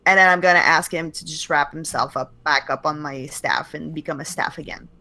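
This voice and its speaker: Cheerful woman with a bright voice. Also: sits low, fairly quick, gravelly. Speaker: player. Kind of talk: casual chat.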